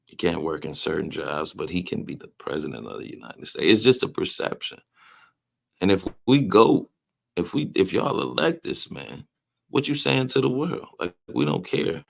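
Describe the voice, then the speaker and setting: A man's voice: rumbling low, gravelly. A man hosting a casual livestream, chatting loosely and joking with viewers in the chat. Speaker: livestream host. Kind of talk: casual live-stream commentary, reading chat.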